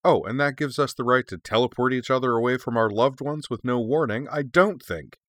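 A man's voice: fairly low pitch, big pitch swings, moderate pace, a bit bright, no echo, studio quality. A man voicing a sassy young female dragon in a story, with a sarcastic, snappy, defiant manner. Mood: outraged. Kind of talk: expressive storybook character reading.

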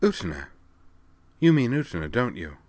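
A man's voice: lowish voice, animated, normal speed, a bit bright, dry recording, pitched above the performer's own voice. A man voicing a bewildered schoolgirl in a fantasy story, with an informal, blunt and questioning way of talking. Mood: startled, anxious. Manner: colloquial, incredulous, questioning. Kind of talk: fantasy audiobook character dialogue.

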